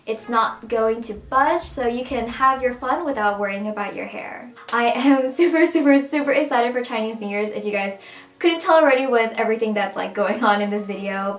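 A young woman with a raspy, strained voice chatting casually through a makeup tutorial at home. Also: on the high side, moderate pace, reverberant. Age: young adult. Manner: chatty, upbeat, casual with fillers. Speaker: beauty vlogger. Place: small room at home, indoors.

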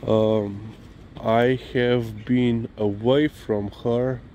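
Male vlogger, Slovenian accent, mid-pitched, very leisurely and rambling delivery, recorded outside on a walk. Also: fairly even intonation, mellow. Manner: hesitant, halting delivery with frequent "you know". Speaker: dog owner and vlogger. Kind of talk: casual walk-and-talk vlog, rambling. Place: outdoors, on a walk. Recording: clearly noisy outdoor ambience, vehicle sounds.

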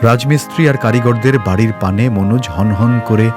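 A man's voice: medium pitch, normal speed. Male storyteller narrating over music. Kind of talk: scripted story narration with acted dialogue. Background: background music.

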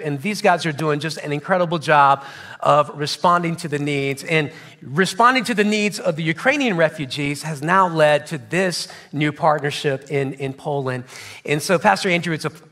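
A man's voice: a little high, fairly bright tone. A man, a pastor, introducing a guest from a church stage, upbeat and emphatic.

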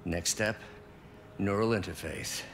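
An actor playing a weary, earnest middle-aged scientist mentor in a video game, measured and downcast. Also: male voice, deep voice, vocal fry, a bit bright, slight background noise, heavily acted. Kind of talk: cinematic video game acting.

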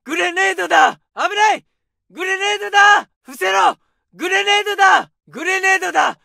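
An actor playing a young male Japanese infantry soldier, barking orders and battle shouts as game callouts. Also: very high-pitched, fairly quick, creaky, dry recording, studio quality, heavily acted. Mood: alarmed shouting. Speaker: soldier voice lines.

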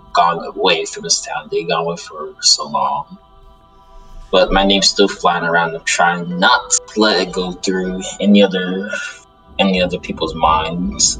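A man's voice: low-pitched, a bit bright, low-quality recording. A man playing an insecure, earnest good demon in an improvised game roleplay, hesitant and often repeating himself. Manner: hesitant, stammering, repeats phrases. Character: insecure, earnest good demon shunning his evil kind. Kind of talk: improvised Minecraft anime roleplay. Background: music.

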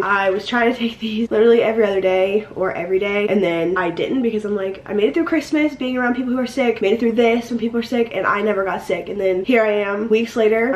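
A woman's voice: medium pitch, husky. A young woman in casual chat to her phone camera, rambling and laughing at herself as a vlogger and fitness coach. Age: young adult. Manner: chatty, rambling, self-laughing. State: hoarse, congested. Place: inside a parked car. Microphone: phone camera at arm's length.

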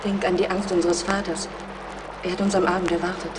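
A woman plays a timid, remorseful young daughter in an audio drama. Her voice is slightly low, with long reverb and faint noise behind it. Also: little pitch movement, brisk, lightly acted. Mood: worried, regretful. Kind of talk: German audio drama acting.

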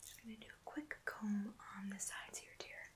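Whispering young woman, soft ASMR. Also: medium pitch, level tone, moderate pace. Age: young adult. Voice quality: breathy whisper. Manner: gentle, reassuring, softly hesitant. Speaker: ASMR roleplay host as hairdresser. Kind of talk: whispered ASMR personal-attention roleplay. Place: indoor room at home.

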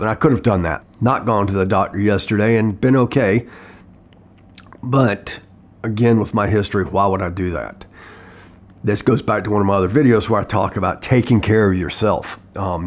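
A middle-aged man with a hoarse throat from a cold, talking casually to camera from his living room, plain-spoken with frequent ums and restarts. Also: on the low side. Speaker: vlogger sharing his health story. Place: living room at home, indoors.

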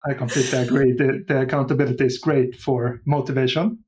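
A man speaks at a medium pitch. He is a guest in a casual interview, picking his words thoughtfully and haltingly. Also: little pitch movement, darkish tone. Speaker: podcast guest, audio drama writer.

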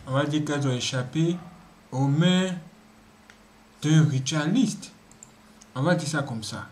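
A man hosting a story show, introducing and wrapping up tales in a low-key way. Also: measured pace. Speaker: host. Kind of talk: show host wrap-up.

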